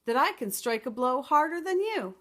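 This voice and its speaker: A woman's voice: high-pitched, very animated, voice pushed up. A woman voicing a clever, brave little girl in a storybook, speaking up boldly and politely. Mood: bold, confident. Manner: loud, bold, deliberate.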